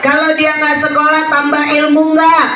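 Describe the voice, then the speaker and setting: A man telling a religious story to schoolchildren in a playful, animated way, calling out questions to the children. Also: very high-pitched, moderate pace, highs cut off, over loud music. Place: primary school hall. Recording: echoey, distant, loud crowd and noise. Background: crowd of children.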